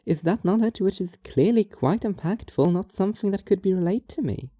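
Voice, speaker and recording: A woman playing a strange, earnest young philosopher in an audio drama, talking in a formal, measured, literal way. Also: medium pitch, lively intonation, warm tone, no echo, clean studio sound, lightly acted. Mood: earnest, questioning. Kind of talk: audio drama monologue and dialogue.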